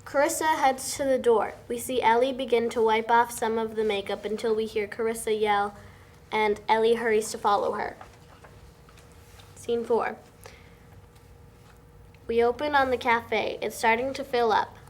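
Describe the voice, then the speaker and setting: A woman's voice: fairly high pitch, steady tone, normal speed, long pauses. A young woman reading screenplay directions aloud as the narrator of a table read. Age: young adult. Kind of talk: scripted narration. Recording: noisy room recording.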